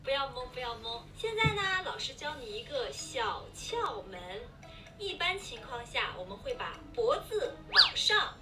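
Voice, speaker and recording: A woman playing a lively Chinese teacher in a comic skit, quizzing and instructing emphatically. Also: high voice, moderate pace. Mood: instructive. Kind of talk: comic language-lesson skit. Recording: echoey distant playback, loud music behind.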